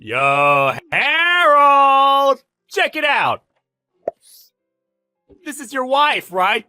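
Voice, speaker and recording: A man's voice: high-pitched, big pitch swings, moderate pace, pushed and bright, no echo, soft background music, exaggerated voice. A man playing a smug, bullying boss in a dramatized story, with a taunting, slangy, exclamatory delivery. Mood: gloating, mocking. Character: smug bullying male boss, gloating and contemptuous. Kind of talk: dramatized story narration with character voices.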